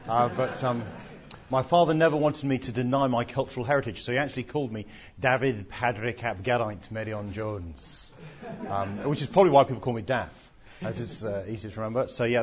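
A man's voice: on the low side, a little fast, muffled recording. A middle-aged Englishman giving his testimony in an onstage interview, with dry self-deprecating humour and plenty of ums. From England.

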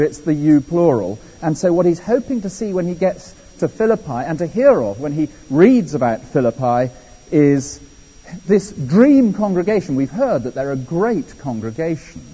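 An English man preaching a sermon, animated and conversational, with personal asides. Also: fairly high pitch, moderate pace, husky. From England. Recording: dull echoey hall.

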